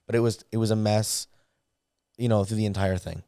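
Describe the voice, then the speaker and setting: Host, man, hoarse, low-ish, rambling storyteller, dry close mic. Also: steady tone, fairly quick, dark tone, no room sound. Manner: rambling, self-correcting storyteller. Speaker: podcast host, board shop owner. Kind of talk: casual podcast chat.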